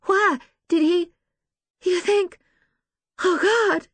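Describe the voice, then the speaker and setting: A woman voicing a frightened, defensive grieving mother in an audiobook, tearful, halting and pleading. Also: high-pitched, lively intonation, no room sound, pitched above the performer's own voice. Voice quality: tearful, wailing. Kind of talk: dramatised audiobook dialogue.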